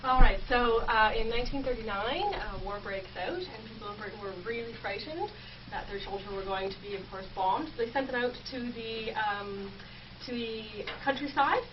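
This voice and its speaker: A woman's voice: fairly high pitch, moderate pace, recorded from a distance. A young adult woman explaining her research informally as a guest in a radio interview. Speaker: guest researcher, history PhD student. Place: campus radio station. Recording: muffled, noisy, off-mic radio audio.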